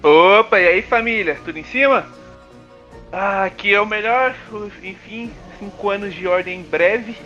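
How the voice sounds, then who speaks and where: A man's voice: high-pitched, normal speed, sharp tone, lo-fi sound, faint music behind. A Brazilian man of about twenty, a podcast guest chatting casually in slang.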